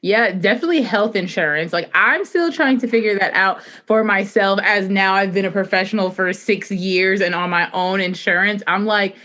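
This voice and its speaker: Young woman from New York, medium pitch. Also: moderate pace, few pauses, pushed and bright. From New York, United States. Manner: conversational, peppered with "like". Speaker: podcast guest, adulting brand creator. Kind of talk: casual explanatory interview chat.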